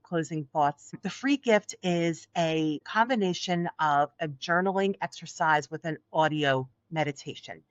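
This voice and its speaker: A woman's voice: deep voice, unhurried, few pauses, no echo. A middle-aged woman with a Philadelphia accent and a soothing voice, speaking earnestly and encouragingly as a podcast guest. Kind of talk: podcast interview. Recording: dull, dry lo-fi audio.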